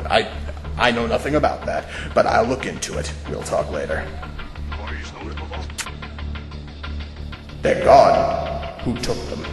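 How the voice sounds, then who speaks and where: A man playing a cold, scheming corporate scientist in an audio drama, measured, smug and condescending. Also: fairly low pitch, sing-song. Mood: guarded, alarmed. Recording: roomy echo, music underneath.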